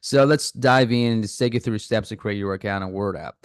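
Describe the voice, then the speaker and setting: A man's voice: fairly low pitch, fairly quick, dry recording, studio quality. A man casually talking viewers through signing up for an app, thinking aloud with fillers and restarts. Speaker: app reviewer. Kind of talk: casual screen-recording walkthrough.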